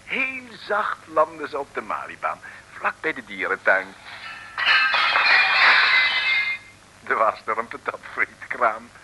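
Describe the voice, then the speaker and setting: An actor playing a wry, jovial Dutch storyteller, narrating an old comic radio serial. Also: male voice, medium pitch, sing-song, normal speed, close to an everyday voice. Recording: old radio recording with faint noise.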